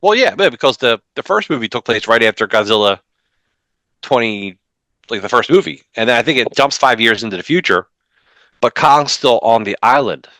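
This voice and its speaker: A man in his thirties co-hosting a comics podcast from home over a video call, talking in an animated way with many restarts. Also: mid-range voice, dry recording. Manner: animated, talks fast with frequent restarts. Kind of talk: casual chat.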